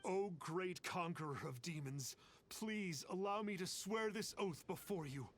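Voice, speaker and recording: A man's voice: sits high, expressive, measured pace, a little hiss or hum. An actor playing an awestruck, repentant male impostor who speaks formally and reverently in an anime game. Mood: reverent, grateful. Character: awestruck, repentant young male impostor, earnest devoted fan.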